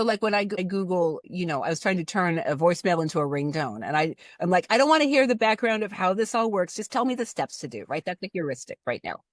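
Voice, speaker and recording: A woman in her fifties from Cleveland, a guest expert talking casually, her pitch jumping high when she stresses a point. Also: no echo, clean studio sound. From Cleveland, Ohio. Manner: animated, pitch jumps high for emphasis. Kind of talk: casual conversational discussion.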